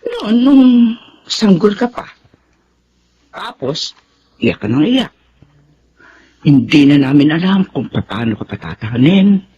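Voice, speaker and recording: A woman's voice: deep voice, very animated, slow, long pauses, mellow, lo-fi sound, slight background noise, exaggerated voice. A Filipino woman playing a tender, grieving middle-aged widowed mother in a radio drama. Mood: wistful, fond.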